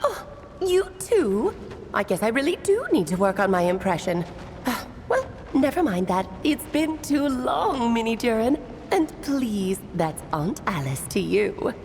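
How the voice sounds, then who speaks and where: A theatrical, high-voiced whimsical lady mage. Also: very animated, a little slow, darkish tone, a little hiss or hum. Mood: playful. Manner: theatrical, teasing, grandiose. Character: playful theatrical grown woman mage, whimsical storyteller aunt. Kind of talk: video game voice acting. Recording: music behind the voice.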